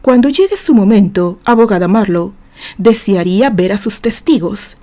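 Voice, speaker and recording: A woman's voice: mid-range voice, expressive, normal speed, warm tone, natural voice. A woman voicing a stern male judge in an audio novel, speaking with formal, commanding authority. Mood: stern, measured.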